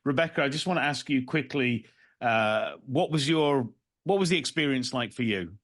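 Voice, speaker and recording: Guy hosting a podcast, chatty interview style, mid pitch, no room sound. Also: lively intonation, normal speed, a bit bright, dry recording, studio quality. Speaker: podcast host.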